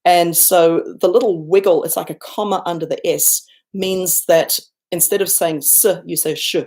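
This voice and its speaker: Gravelly-voiced New Zealand woman chatting live. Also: steady tone, moderate pace, a little mellow. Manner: chatty and self-deprecating, bursts up high when thanking viewers. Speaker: YouTuber hosting a livestream Q&A. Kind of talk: casual livestream chat reading viewer comments. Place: home, looking out over a garden and lake.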